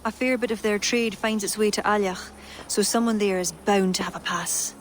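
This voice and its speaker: A woman plays a brisk, wry adviser. She delivers news plainly at a mid pitch. A faint hiss runs under the game cutscene. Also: steady tone, close to an everyday voice.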